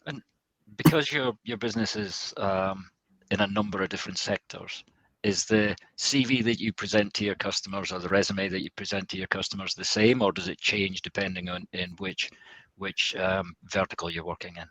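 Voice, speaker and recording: A man with a fairly low voice acts as a webinar host, speaking in a semi-formal, conversational way. The sound is very dry, with no room echo around him. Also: steady tone, normal speed, pushed and bright.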